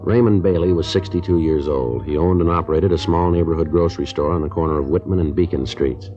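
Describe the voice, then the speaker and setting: An actor playing a terse police detective, deadpan and matter-of-fact, in a 1950s radio crime drama. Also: male voice, deep voice, fast, over music, close to an everyday voice. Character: terse, businesslike male police detective sergeant narrating. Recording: dull vintage radio recording.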